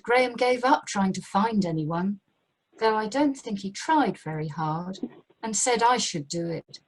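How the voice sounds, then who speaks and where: An amateur actress playing a put-upon, placating middle-aged British wife in a radio play. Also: female voice, on the high side, breathy edge, low-quality recording. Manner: harried, conciliatory.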